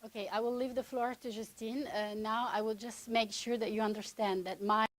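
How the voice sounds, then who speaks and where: A woman's voice: fairly high pitch, fairly even intonation, moderate pace. A woman works as an advocate and interprets a speaker into English. Her phrasing is plain and careful, and she apologizes for her English as she goes. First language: Italian. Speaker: human rights advocate interpreting. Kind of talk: consecutive interpretation.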